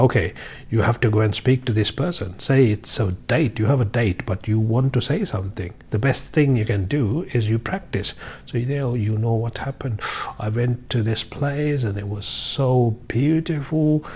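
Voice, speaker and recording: Man in his thirties, from Sri Lanka, husky voice, mid pitch, encouraging teacher, lapel mic in office. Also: a little mellow. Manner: encouraging, repetitive, with many fillers and restarts. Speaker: English teacher. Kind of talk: casual teaching monologue to camera. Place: high-rise office by a window, indoors.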